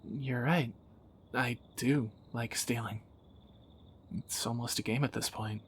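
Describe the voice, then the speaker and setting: A man's voice: mid-range voice, steady tone, slow pace, a little hiss or hum. A man playing a tender, wry young thief in a romantic audio roleplay, teasing and intimate, often dropping toward a whisper. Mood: wry, musing. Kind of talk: romantic audio roleplay, one side of a dialogue.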